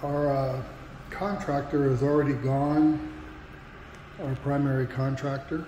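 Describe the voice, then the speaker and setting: A man's voice: medium pitch, flat delivery, measured pace. A man walking through his renovated house, explaining the work in a casual, matter-of-fact way. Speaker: homeowner showing his renovation. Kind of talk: casual walk-through narration. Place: house under renovation, in and out of doors. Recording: echoey rooms with loud background noise.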